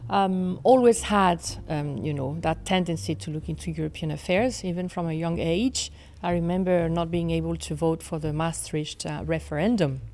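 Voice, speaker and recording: Woman, 40s, French accent, low pitch, gravelly, measured, studio interview. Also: darkish tone, slight background noise. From France. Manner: measured, with many fillers and restarts. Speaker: law professor, guest. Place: studio set with armchairs. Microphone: desk mic on boom arm.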